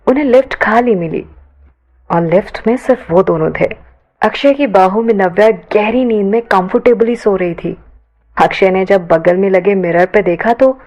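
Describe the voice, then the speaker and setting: A woman's voice: medium pitch, a little mellow. A woman narrating a scripted romance audio story expressively, mixing English words into her Hindi. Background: background music.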